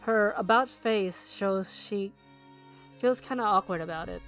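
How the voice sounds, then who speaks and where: A woman's voice: medium pitch, unhurried, a bit bright, soft background music, natural voice. Thoughtful teenage boy narrator, read in a woman's own voice; plain, conversational. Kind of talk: visual novel narration read aloud.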